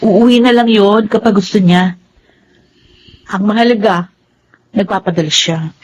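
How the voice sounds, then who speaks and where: An actress with a Filipino accent playing an evasive, slightly sardonic young adult daughter in a radio drama. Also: female voice, medium pitch, measured pace, breathy edge, poor audio. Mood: offhand, sardonic.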